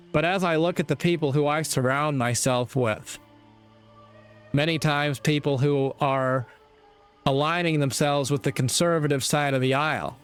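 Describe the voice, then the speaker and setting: An American man giving a reflective opinion monologue in a measured, deliberate way, with short phrases and pauses. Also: medium pitch, smooth. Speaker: commentator.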